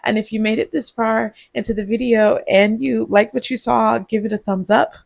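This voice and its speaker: A woman vlogger, groggy on cold medicine, talking to her viewers in a husky voice and rambling with giggles. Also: fairly high pitch, fairly even intonation, moderate pace, darkish tone, dry recording. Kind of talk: casual vlog monologue. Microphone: earbuds mic.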